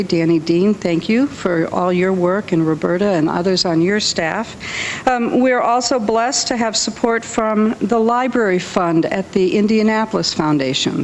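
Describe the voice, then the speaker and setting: A woman hosting a public event, giving a welcome speech and introducing speakers. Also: gravelly. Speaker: library host introducing speakers at a launch event. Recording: echoey hall, rumbling room noise.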